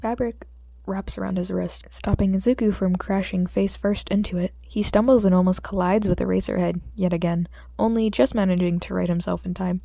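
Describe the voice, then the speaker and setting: A woman's voice: medium pitch, fairly even intonation, a little fast, gravelly, dark tone, no room sound. A woman reading scripted fiction as an audiobook narrator.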